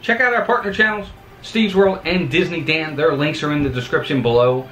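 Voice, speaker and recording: A man in his forties hosting a theme park video channel, talking to camera from a home office in an upbeat manner with lively swings in pitch. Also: brisk, roomy sound, a little hiss or hum. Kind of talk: scripted vlog talk to camera.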